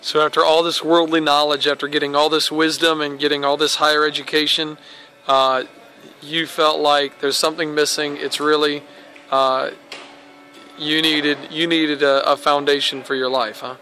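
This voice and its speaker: A man's voice: a little high, flat delivery, moderate pace, pushed and bright, soft background music, poor audio. A man interviewing a guest at a conference, asking casual questions and summing up answers.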